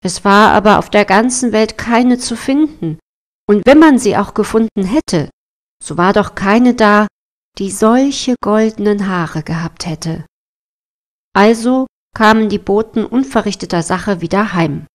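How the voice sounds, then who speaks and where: Slow-paced woman telling a fairy tale. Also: mid-range voice. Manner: measured, deliberate storytelling. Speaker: storyteller. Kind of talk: scripted fairy tale narration.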